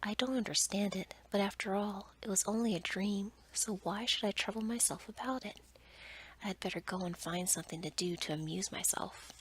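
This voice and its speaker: Gentle, earnest young storybook heroine read by a woman, breathy whisper, noisy recording. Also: mid-range voice, fairly quick, natural voice. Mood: earnest, wondering. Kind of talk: whispered ASMR storybook reading.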